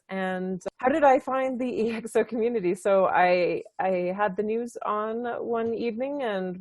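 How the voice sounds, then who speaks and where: A woman's voice: fairly low pitch, darkish tone, no room sound. A woman from Canada, an independent consultant, answering interview questions over a video call, cheerful and laughing often. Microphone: headset on video call.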